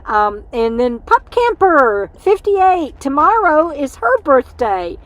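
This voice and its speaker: A woman's voice: sits high, big pitch swings, slow. Tired, chatty woman livestreaming. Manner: rambling, chatty, talks to her dog mid-stream. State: tired from lack of sleep, voice fading. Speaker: livestream host. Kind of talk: casual livestream chat. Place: inside an RV.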